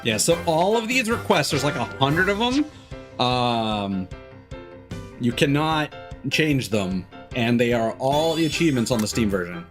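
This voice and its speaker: A man's voice: mid-range voice, animated, unhurried. A man giving casual gameplay commentary as a streamer, muttering and exclaiming at the game as he plays. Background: video game music.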